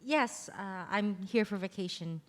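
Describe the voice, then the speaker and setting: A woman's voice: mid-range voice, measured pace, lightly acted. A woman playing a curious young woman, a classical dancer reborn, in a table read of a stage play.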